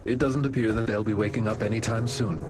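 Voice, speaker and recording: A man's voice: on the low side, fairly even intonation, natural voice. An actor voicing a composed, courteous butler-like fighter who leads his team in a video game, speaking in formal, polite phrases. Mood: wary. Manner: formal, polite, measured wording. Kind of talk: English game voice acting. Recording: loud music over the dialogue.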